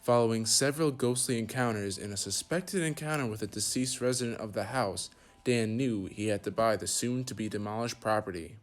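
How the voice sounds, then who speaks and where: A man's voice: lowish voice, fairly quick. A man reporting as a student TV news journalist, reading scripted narration.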